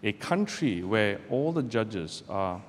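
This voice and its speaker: A man's voice: on the low side, big pitch swings. Man speaking in a reverberant hall. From Malaysia. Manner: measured, deliberate delivery with pauses. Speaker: barrister giving a guest talk. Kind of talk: public speech to students. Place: lecture hall, speaking from a podium. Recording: roomy hall with long reverb, faint background noise.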